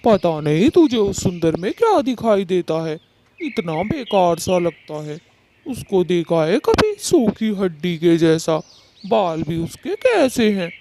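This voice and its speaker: A woman's voice: on the low side, big pitch swings, measured pace, noisy background. A woman voicing a vain, lecherous male bird in a Hindi cartoon, swaggering and taunting as he talks. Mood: boastful. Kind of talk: Hindi cartoon moral story dialogue.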